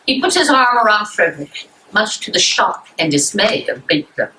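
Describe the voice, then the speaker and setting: Woman, scripted play narration, bright tone, expressive pitch, slight background noise. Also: moderate pace, low-quality recording. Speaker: narrator reading stage directions. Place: indoor room at a public library.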